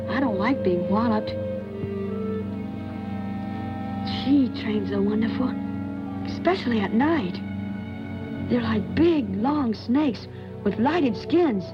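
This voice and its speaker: A young male actor playing a talkative, restless boy in a 1940s film, chatting with boyish exclamations. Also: sits high. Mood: eager, confiding. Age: child. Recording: muffled old film soundtrack, loud music.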